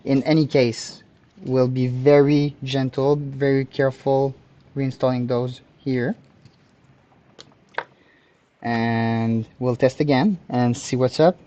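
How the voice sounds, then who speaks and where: Quebec French man, flat delivery, slightly dull audio. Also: mid-range voice, slow pace, long pauses. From Canada, French-speaking province. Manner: hesitant, searching for words. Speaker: repair technician, livestream host. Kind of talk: live hands-on tutorial chat.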